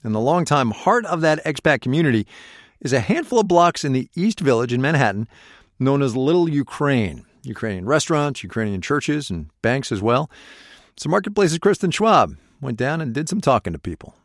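A man's voice: mid-range voice, big pitch swings, moderate pace, no room sound, studio quality. A man hosting a news program, introducing a report in a conversational way. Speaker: radio host.

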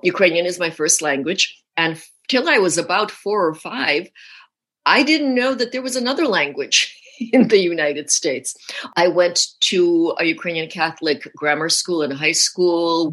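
Low, husky-voiced woman being interviewed. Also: animated, moderate pace, a bit bright.

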